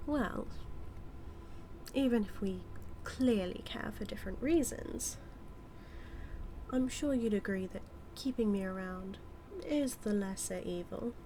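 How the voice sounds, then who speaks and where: A British-accented woman playing a smug, manipulative evil magician in a scripted audio roleplay, teasing and taunting with deliberate, drawn-out phrasing. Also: medium pitch, dark tone, slight background noise, close to an everyday voice. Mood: smug, explaining.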